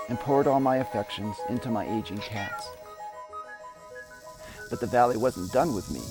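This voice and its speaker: A man giving a reflective, earnest dramatic reading of his own memoir. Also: mid-range voice. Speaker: memoirist narrator. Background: music.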